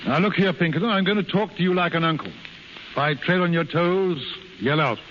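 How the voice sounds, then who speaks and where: An actor playing a frank, avuncular, pragmatic American consul in 1930s radio drama style. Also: male voice, on the high side, a little fast, natural voice. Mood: grave, probing. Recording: muffled, hissy 1930s radio broadcast recording.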